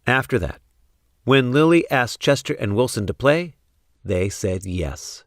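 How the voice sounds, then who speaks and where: A man reading a children's storybook in an expressive narrating style. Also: medium pitch, a little slow, no room sound, clean studio sound. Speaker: storybook reader.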